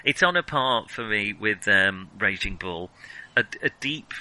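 A man's voice: fairly low pitch, expressive, measured pace, sharp tone, poor audio. A man co-hosting a film podcast in casual discussion, hesitant and self-questioning yet blunt in his opinions. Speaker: podcast co-host reviewing films.

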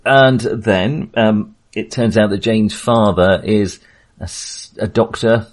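A man with a fairly low voice talks through a film as a podcast host. His delivery is casual and hesitant, and he often doubles back to reword a thought. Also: fairly even intonation, takes it slowly. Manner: hesitant, circling back to rephrase.

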